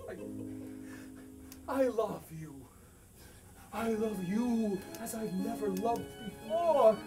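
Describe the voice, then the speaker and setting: Distant stage recording with music behind. A man acts out a flustered, impulsive gentleman landowner in love, with big swings of pitch. He stammers and drags through his words, then blurts them out theatrically. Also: lively intonation, heavily acted. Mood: lovesick, rambling. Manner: stammering, halting, bursting into shouts. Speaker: student stage actor. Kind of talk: theatrical comic stage acting.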